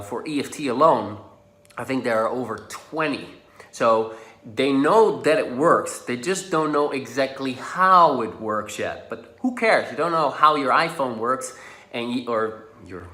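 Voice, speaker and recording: Dutch guy coaching tapping, bright forceful voice, bit of room echo. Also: medium pitch. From Netherlands. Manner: casual, encouraging, drops to soft and slow when guiding. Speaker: social anxiety coach. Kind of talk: guided tapping lesson to camera. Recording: echoey room.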